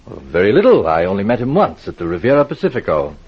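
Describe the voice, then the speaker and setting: A man's voice: on the low side, wildly swooping pitch, fast talker, slightly rough, full character voice. A man playing a polite, evasive middle-aged dress designer with an American accent, in 1940s radio acting style. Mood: polite, defensive. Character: guarded, polite male dress designer, forty-five. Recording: dull vintage radio recording.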